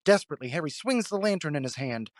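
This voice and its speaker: A man delivering dramatic scripted narration with suspenseful, deliberate phrasing. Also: fairly high pitch, animated, dry recording, clean studio sound.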